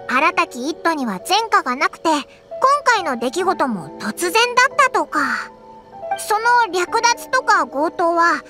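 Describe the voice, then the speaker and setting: A woman's voice: very high voice, big pitch swings, unhurried, exaggerated voice. A woman voicing a tiny, brash, chatty floating fairy companion in a video game. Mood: blunt curious. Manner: blunt, chatty, childlike. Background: game music.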